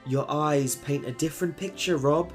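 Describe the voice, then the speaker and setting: A man's voice: on the high side, moderate pace, soft background music, natural voice. An actor playing a stern team leader who gives orders firmly and protects his people. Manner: firm, authoritative, swears when angry.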